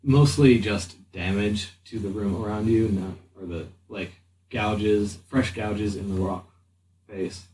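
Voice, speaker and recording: A man acting as game master, narrating a tabletop game in an improvised, halting way as he thinks aloud. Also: lowish voice, darkish tone. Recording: slightly distant mic, faint room noise.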